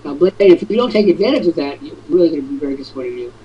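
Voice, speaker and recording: Raspy-voiced man hosting a webinar. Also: on the high side, lively intonation, racing, dark tone. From New England. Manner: rambling, joking, theatrical. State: raspy throat. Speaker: webinar host. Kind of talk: casual webinar chat. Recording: dull lo-fi online audio with background noise.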